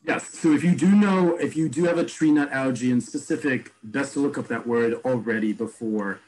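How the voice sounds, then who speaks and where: A man teaching an online class, explaining terms and repeating each word slowly. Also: medium pitch, a little mellow, rough recording. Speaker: Hebrew teacher. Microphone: video call.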